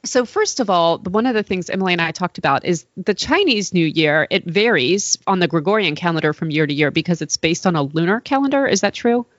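A woman hosting an interview podcast, asking curious questions and encouraging her guest with steady murmurs of agreement. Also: on the low side, brisk, few pauses, dry recording, lo-fi sound. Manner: encouraging, lots of affirming murmurs. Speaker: podcast host.

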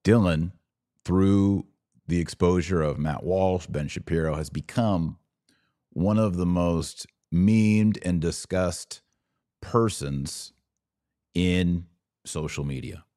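A low-pitched American man hosts an opinionated commentary podcast. His delivery is emphatic and sarcastic, and he slips into mimicry of opponents. The sound is dry, with no room around the voice. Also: a little mellow, no echo.

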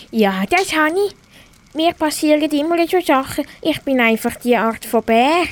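A young performer playing a polite, clumsy young bear in an amateur radio play, speaking with slow, halting answers. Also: sits high, animated. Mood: matter-of-fact.

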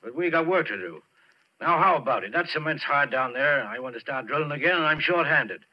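An actor playing a brusque, impatient oil drilling boss with pushy, colloquial talk, in 1940s radio drama style. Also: male voice, fairly high pitch, expressive, a little fast. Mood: persuading, businesslike. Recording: muffled vintage 1940s radio recording.

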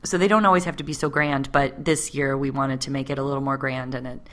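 A woman's voice: a bass voice, steady tone, fast. A woman in her late thirties co-hosting a podcast, chatting casually with frequent fillers. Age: 30s. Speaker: podcast co-host. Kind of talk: casual conversation.

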